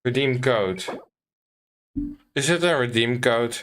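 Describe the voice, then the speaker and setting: A man chatting casually on a game livestream in a halting, hesitant manner. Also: medium pitch, background noise. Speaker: game streamer. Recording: livestream voice over game music.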